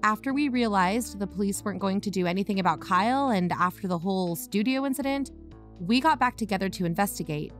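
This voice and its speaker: A woman's voice: mid-range voice, expressive, fairly quick, soft background music, natural voice. A woman playing an earnest young amateur investigator and podcaster, probing in a natural, matter-of-fact way. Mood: serious. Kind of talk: audio drama narration and natural phone dialogue.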